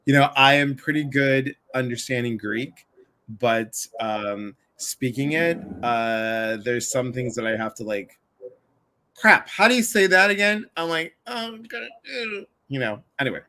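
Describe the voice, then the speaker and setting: A man's voice: medium pitch, a little slow, pushed and bright. A man from the Chicago area hosting a casual livestream conversation, chatty and full of asides. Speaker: livestream host.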